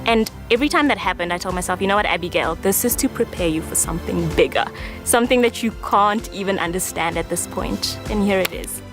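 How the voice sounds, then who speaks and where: A woman's voice: mid-range voice, a little fast. Young South African woman, news anchor, earnest; music bed. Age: 20s.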